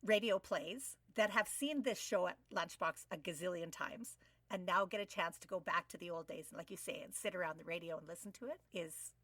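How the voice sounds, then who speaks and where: A woman's voice: on the low side, brisk, a bit bright, husky, dry recording, far from the mic. A middle-aged woman co-hosting a casual interview over a video call, speaking in an enthusiastic, effusive way. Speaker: podcast co-host. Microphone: video call microphone.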